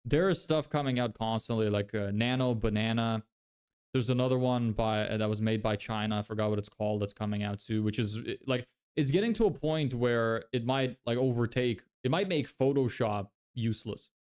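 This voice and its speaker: A man's voice: mid-range voice, normal speed, darkish tone, smooth. Blunt man streaming live. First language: Lithuanian. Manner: loose and blunt, swears, many fillers and restarts. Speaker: livestreamer reading chat questions. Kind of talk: casual live-stream chat.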